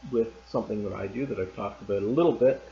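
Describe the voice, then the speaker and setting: Male teacher, story read-aloud, calm and measured, echoey room, slightly dull sound. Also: fairly low pitch, gravelly. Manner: calm and measured, loose and chatty after reading. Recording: roomy, slightly dull recording.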